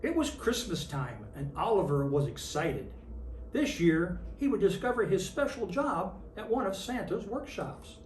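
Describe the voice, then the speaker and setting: An expressive storyteller reads a picture book to children in the role of Santa. The pitch moves in lively, wide swings. The recording is roomy and slightly distant, with faint noise underneath. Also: measured pace. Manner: expressive storytelling with acted character lines. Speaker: storybook reader in character as Santa Claus.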